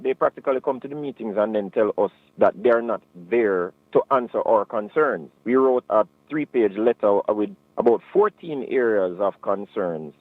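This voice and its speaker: Low-voiced Jamaican man, dull dry sound. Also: no echo. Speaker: union president interviewed. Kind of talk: formal spoken interview statement.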